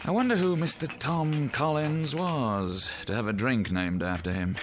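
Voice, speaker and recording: A man's voice: medium pitch, unhurried, a little hiss or hum, close to an everyday voice. An actor playing an urbane, wry newspaperman musing over drinks in a radio drama, with theatrical phrasing.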